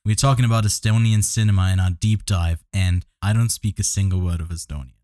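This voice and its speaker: A man's voice: low voice, fairly even intonation, fairly quick, darkish tone, no room sound, studio quality. Joking male podcast host. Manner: jokey, rambling, laughs often. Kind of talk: casual podcast chat.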